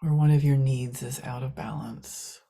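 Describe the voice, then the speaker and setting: A woman leading a guided meditation in a soft, hushed manner, leaving long pauses between short phrases. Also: extremely low pitch, steady tone, gravelly.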